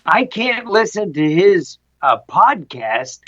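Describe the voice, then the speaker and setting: A woman's voice: on the low side, sing-song, slow pace, a bit bright, dry recording. A woman in a casual group chat, effusive and emphatic, given to amazed exclamations, over dull lo-fi call audio. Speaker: audio drama cast member.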